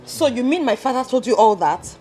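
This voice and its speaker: A woman playing a desperate daughter who shouts and cries in a hospital. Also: fairly high pitch, animated, brisk, soft background music, close to an everyday voice. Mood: indignant. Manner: shouting, pleading, crying. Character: frantic young woman, desperate and loud. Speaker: daughter searching for her mother in a hospital.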